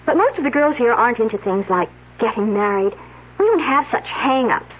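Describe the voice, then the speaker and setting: A woman's voice: on the high side, sing-song, fairly quick, slightly rough. An actress playing a flippant young female student who answers in an offhand, dismissive way, in a radio drama. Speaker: student at a dig. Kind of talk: 1970s radio drama acting. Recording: tinny old radio broadcast.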